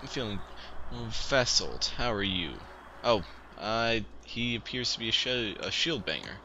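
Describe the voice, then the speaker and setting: A man's voice: fairly low pitch, lively intonation, measured pace, pushed and bright, a little hiss or hum, low-quality recording. A young man hosting a casual let's-play video, wry and barking playful orders at the characters, with chiptune music and a shower going in the background. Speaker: gaming video host. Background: chiptune music playing and a shower running.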